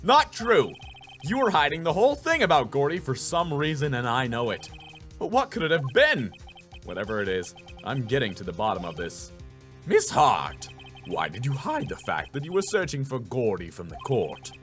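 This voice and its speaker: A man's voice: mid-range voice, very animated, moderate pace, a bit bright, soft background music. A man voicing an earnest young defense lawyer in a video game, speaking emphatically. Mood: determined.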